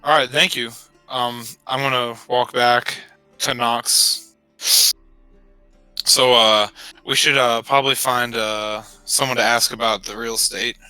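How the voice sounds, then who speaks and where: A man's voice: mid-range voice, steady tone, unhurried, pushed and bright, clean tone, no room sound, soft background music, rough recording. A man playing a tabletop roleplaying game, chatting and improvising informally with jokes. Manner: informal, joking. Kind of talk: casual improvised roleplay chat.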